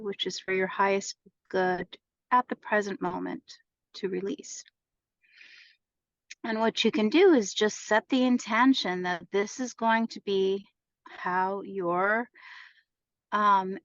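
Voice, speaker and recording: A woman leading an unscripted guided meditation in a soothing, unhurried, gently instructing manner. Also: medium pitch. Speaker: meditation leader. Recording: echoey room, slightly dull lo-fi sound.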